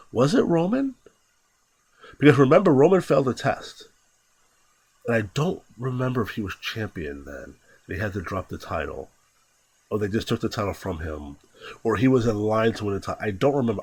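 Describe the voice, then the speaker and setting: A man's voice: lowish voice, dry recording. A man in his thirties hosting a podcast, talking casually and candidly, thinking aloud with many fillers. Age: 30s. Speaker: podcast host. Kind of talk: casual solo commentary.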